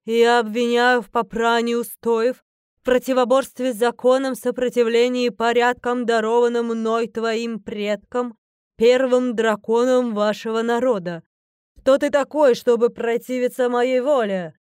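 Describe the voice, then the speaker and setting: A woman's voice: medium pitch, little pitch movement, unhurried, clear, resonant tone, no room sound, clean studio sound, close to an everyday voice. A woman voicing an imperious, easily irritated mother goddess in a fantasy audiobook, speaking in a grand, stern, declamatory way. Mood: wrathful, accusing. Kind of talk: fantasy audiobook character acting.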